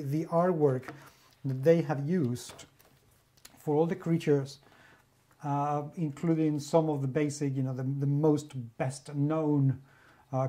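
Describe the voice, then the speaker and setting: A man's voice: fairly high pitch, mellow. A man from Spain with a Spanish accent, reviewing a favorite role-playing game in an effusive, enthusiastic monologue full of superlatives. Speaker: guest reviewer, magazine editor.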